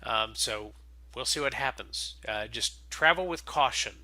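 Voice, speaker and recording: A man with a very bright, forceful voice talks casually as the host of a role-playing audio log. His pitch sits in the middle for a man, and he feels his way through sentences with frequent pauses and fillers. The sound is dry, with hardly any room on it. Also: dry recording. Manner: halting, hesitant phrasing with frequent filled pauses. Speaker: podcast host and game master.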